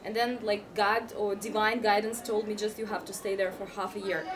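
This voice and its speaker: A woman's voice: medium pitch, flat delivery, brisk, noisy background. A young woman with a Russian accent, chatting earnestly at a live-streamed party interview. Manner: chatty, earnest, slightly non-native phrasing. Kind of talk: casual interview. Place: busy indoor party venue. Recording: crowd chatter behind.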